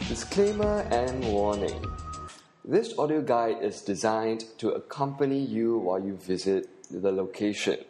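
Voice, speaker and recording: Animated Singaporean man guiding a tour. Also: on the low side. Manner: earnest, instructive, with occasional uh fillers. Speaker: podcast host and audio tour guide. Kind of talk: scripted audio tour narration.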